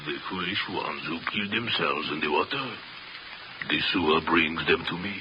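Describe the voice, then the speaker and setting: A man playing a crazed old French hermit in a 1930s radio horror play, with a French accent and a halting, repetitive, sinisterly courteous way of talking. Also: low-pitched, natural voice. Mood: proud, obsessive. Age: older. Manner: halting, insistent, repeating himself with sinister courtesy. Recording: muffled, hissy 1930s radio recording.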